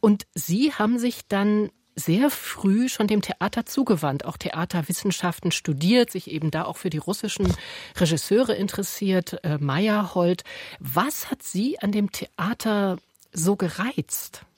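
A woman hosting a conversational broadcast interview, laying out measured summaries that lead into her questions. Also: mid-range voice, animated, a little mellow, breathy edge, dry recording, studio quality. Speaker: interview host.

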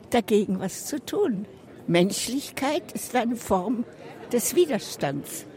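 An older woman, founder of a prize, speaking slowly and gravely. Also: medium pitch, mellow. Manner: slow and grave. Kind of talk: interview statement.

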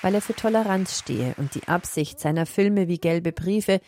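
A woman reporter reading a culture news report in a measured voice. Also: lowish voice, noisy background. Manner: measured, read-out delivery.

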